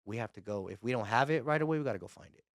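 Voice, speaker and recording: A man's voice: medium pitch, animated, rapid-fire, no room sound, clean studio sound. A man hosting a podcast interview, chatting in a laid-back way with casual slang. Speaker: podcast host.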